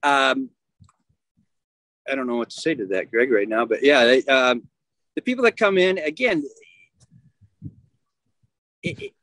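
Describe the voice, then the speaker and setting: A man's voice: mid-range voice, animated, unhurried, long pauses, fairly bright tone, no room sound, rough recording. Middle-aged man, hesitant and searching for words, close dry sound, video call. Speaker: yacht club commodore. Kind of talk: casual interview on a video call. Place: outdoors at a marina.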